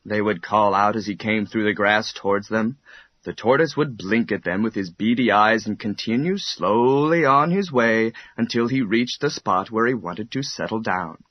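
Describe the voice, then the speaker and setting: A man narrating a children's bedtime story in an expressive, measured storytelling manner. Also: on the low side, highs cut off.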